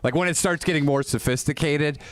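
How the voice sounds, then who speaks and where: A man's voice: a little high, brisk, no room sound. A man co-hosting a casual comedy podcast, rambling and swearing, often trailing off and restarting. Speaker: podcast co-host.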